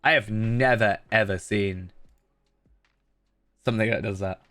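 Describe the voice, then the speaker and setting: Bright-voiced man, stuffy nose. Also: fairly low pitch, measured pace, no echo, soft background music. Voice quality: slightly nasal. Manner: chatty, thinking aloud with many fillers and restarts. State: blocked nose. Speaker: game streamer. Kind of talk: casual live-stream commentary while playing.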